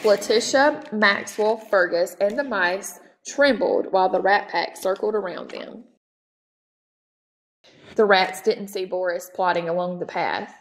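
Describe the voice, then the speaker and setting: Slow-paced woman reading a children's story. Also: medium pitch. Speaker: storytime reader. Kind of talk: children's storybook read-aloud.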